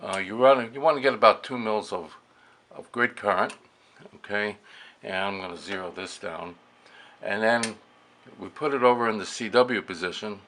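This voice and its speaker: A man speaks in a lowish voice with some noise behind him. He gives an informal hands-on demonstration like a hobby radio operator. His delivery is halting, often muttered to himself as he works. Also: a bit bright. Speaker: amateur radio operator demonstrating vintage gear. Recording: clearly noisy room recording.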